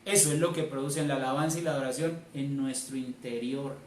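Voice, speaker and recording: A man's voice: medium pitch, steady tone, brisk, darkish tone. A Colombian man, a church elder, preaching a sermon in an emphatic way, repeating key phrases. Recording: roomy, distant mic, faint noise.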